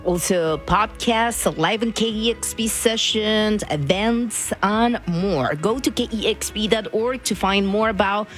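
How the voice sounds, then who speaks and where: A woman's voice: mid-range voice, a little slow, few pauses, faint music behind. A woman from Argentina with an Argentine Spanish accent, chatting casually as a radio DJ and slipping into Spanish words.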